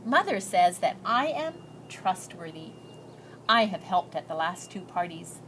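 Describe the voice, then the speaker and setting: A woman's voice: lowish voice, very animated, a little slow, a bit bright, a little hiss or hum. A woman voicing an earnest, shy, hopeful immigrant girl reading out her letters, with heartfelt, lilting storybook delivery.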